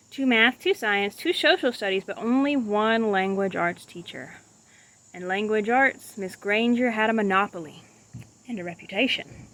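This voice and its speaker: A woman's voice: fairly high pitch, rough recording. A woman reading a children's book aloud as a storyteller. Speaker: storybook reader.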